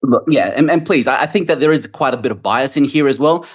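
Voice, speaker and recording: Australian man caller, stammers, mid-pitch, dodges questions. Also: quick pace, husky. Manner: hesitant, stammering, evasive. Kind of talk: heated live audio debate.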